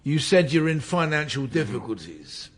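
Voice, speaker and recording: A man's voice: fairly high pitch, big pitch swings, normal speed, slight background noise. A man acting in a radio drama as a wry, needling police detective with an ironic, teasing delivery. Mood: needling, pressing.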